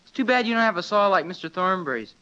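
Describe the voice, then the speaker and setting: Radio sitcom teenage boy: helpful, candid, high-pitched, muffled vintage recording. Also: expressive, fast, sharp tone, a little hiss or hum. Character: earnest, polite, helpful teenage son. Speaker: sitcom son. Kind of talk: 1950s radio family comedy acting.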